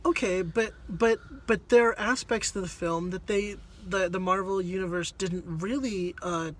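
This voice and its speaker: A man's voice: sits high, steady tone, moderate pace, fairly bright tone. A man giving a casual conversational movie review, hesitant and rambling as he searches for words. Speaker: film reviewer on a video channel.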